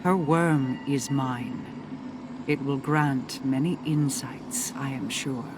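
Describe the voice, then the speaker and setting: A woman's voice: very deep voice, fairly even intonation, slow pace, noisy background. A woman voicing a stern, calculating queen in a video game, speaking in a formal, imperious manner. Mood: composed, solemn.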